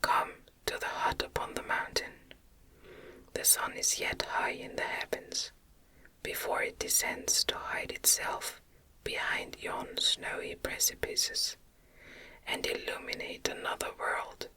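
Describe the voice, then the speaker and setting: Female ASMR reader for gothic fiction: breathy whisper throughout, gentle and measured, very slow. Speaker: audiobook reader. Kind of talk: whispered ASMR novel reading.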